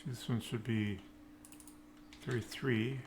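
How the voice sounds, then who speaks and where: A man giving an informal live-coding tutorial, thinking aloud in halting, piecemeal phrases. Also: medium pitch, fairly even intonation. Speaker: programming tutorial instructor. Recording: noticeable background noise, keyboard typing.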